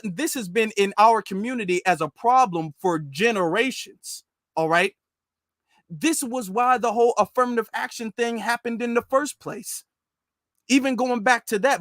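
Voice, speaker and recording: A man's voice: sits high, fairly bright tone, no room sound, studio quality. A man from the United States giving informal, emphatic political commentary on a live stream, repeating phrases for stress.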